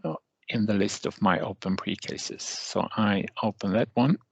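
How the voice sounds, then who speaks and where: A man narrating a step-by-step software tutorial as a trainer, with halting, deliberate phrasing and hesitant pauses. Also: on the low side, creaky, breathy edge, no echo, low-quality recording.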